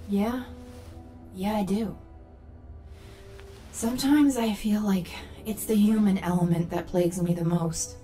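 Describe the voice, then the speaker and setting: Woman acting a slow-spoken, guarded rebel captain. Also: mid-range voice, warm tone, faint music behind, lightly acted. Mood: brooding. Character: guarded, troubled female rebel captain, not fully human. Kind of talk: sci-fi audio drama acting.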